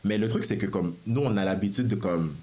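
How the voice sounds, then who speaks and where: A young man from Congo with a Quebec French accent, telling personal stories in a casual podcast chat and jumping into high voices when he quotes people. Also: brisk, dark tone. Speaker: podcast co-host and stand-up comedian.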